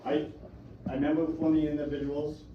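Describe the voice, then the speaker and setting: Man, 30s, halting speaker, far off in a very echoey room, muffled with noise. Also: a little high, level tone. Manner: halting, stammering restarts. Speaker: resident speaking at a county meeting. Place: county meeting room. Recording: echoey, far from mic, dull, noisy.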